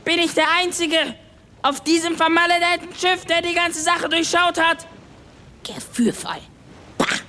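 A grumpy little ship's goblin from a seafaring audio tale, played by a woman. The voice sits very high with a sharp, forceful edge. He scolds and explains with exasperated emphasis, like the only one who knows what is going on. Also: little pitch movement, moderate pace, a little hiss or hum. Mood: furious. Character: grumpy, furious little male ship's kobold, scolding know-it-all. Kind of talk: acted German audio story.

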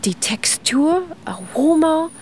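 A woman's voice: high voice, expressive, slow pace, darkish tone, a little hiss or hum. A woman who is not a native German speaker, explaining her subject to a radio interviewer with enthusiasm and small grammar slips. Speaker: ice cream historian guest.

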